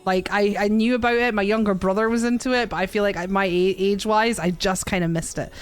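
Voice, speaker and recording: A woman's voice: mid-range voice, steady tone, fast, clear, resonant tone, soft background music. Chatty woman in her forties. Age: 40s. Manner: chatty, laughs often, swears casually. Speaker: podcast host and streamer. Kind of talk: casual chat.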